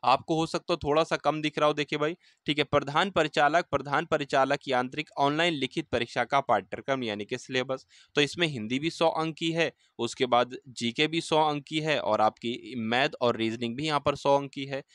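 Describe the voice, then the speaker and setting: A man's voice: mid-range voice, normal speed, hardly any pauses, fairly bright tone, no echo, clean studio sound. A man giving an informal explanatory lesson like an exam coach, repeating points and often checking that listeners follow. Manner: repeats points, asks and answers own questions, checking in often. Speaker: exam-news presenter and coach.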